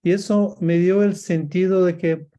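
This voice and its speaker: A native Portuguese-speaking man with a Portuguese accent and Rioplatense traces, giving a reflective personal talk in a halting, thoughtful way. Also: high voice, steady tone, warm tone. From Portuguese-speaking Africa. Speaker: guest speaker, coach. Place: at home, by bookshelves, on a video call.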